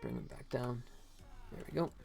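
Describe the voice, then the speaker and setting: Male game-dev streamer, mid-pitched, off-the-cuff and self-muttering, music underneath. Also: wildly swooping pitch, normal speed, gravelly, mellow. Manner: muttering to himself, then chatting to viewers. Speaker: game developer live streamer. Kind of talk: casual live stream chat while working.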